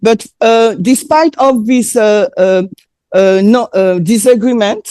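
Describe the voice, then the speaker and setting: A woman speaking English with a French accent, French being her first language. She preaches slowly and haltingly, often pausing on fillers, in a soft, mellow tone. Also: on the high side, smooth. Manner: hesitant, frequent filler pauses. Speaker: presenter. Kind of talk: sermon with consecutive interpretation.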